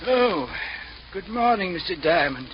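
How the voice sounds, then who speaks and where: An actor playing a prim, fussy, deferential butler in a 1940s radio drama, formal and easily flustered. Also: male voice, high voice, big pitch swings, a little slow. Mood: polite, confiding. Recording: muffled, noisy old radio recording.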